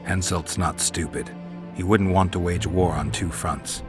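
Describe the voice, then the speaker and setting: An actor playing a stoic, dry-witted mercenary monster hunter in a video game, terse and deadpan. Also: male voice, low-pitched, measured pace. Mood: serious. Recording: game music behind the voice.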